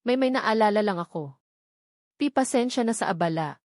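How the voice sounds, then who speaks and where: A woman's voice: mid-range voice, lively intonation, normal speed, darkish tone, no echo, clean studio sound, natural voice. Woman voicing a heartbroken, tender young man. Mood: shaken, apologetic.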